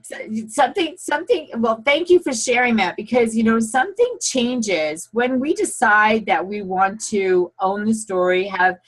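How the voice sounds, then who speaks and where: A woman's voice: on the high side, lively intonation, normal speed, hardly any pauses. A woman hosting an online talk show, encouraging and affirming her callers. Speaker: host. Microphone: computer video call.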